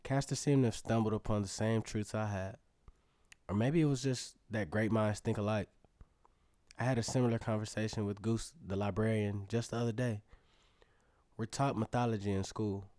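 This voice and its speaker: Man as curious storyteller, lowish voice, first-person audio drama narration, adventurous, dry studio sound. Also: a little mellow, no echo, lightly acted.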